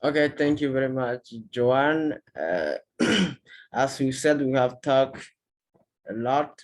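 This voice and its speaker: Man, guest speaker, slow careful delivery, non-native phrasing, video call audio. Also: mid-range voice, darkish tone, poor audio. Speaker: guest from a youth climate movement. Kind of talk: online panel talk.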